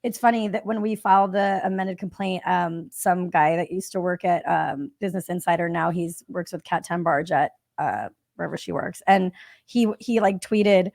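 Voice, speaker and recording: A woman with a raspy voice, chatting animatedly as a guest on a live stream and laughing often. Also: lowish voice, moderate pace, no room sound, studio quality. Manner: animated, laughs often, quotes others mockingly. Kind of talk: casual chat on a stream.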